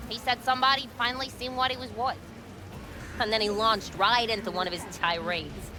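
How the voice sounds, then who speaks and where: An actress playing a sarcastic, foul-mouthed market food vendor in a video game, blunt and slangy. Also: female voice, a little high, fairly even intonation, a little fast, background noise, lightly acted. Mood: sardonic. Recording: music behind the voice.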